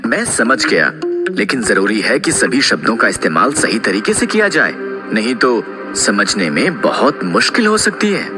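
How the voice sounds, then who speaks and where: A man playing a patient father who narrates a children's moral story in a measured, instructive way. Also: on the low side, big pitch swings, big-room sound, music in the background.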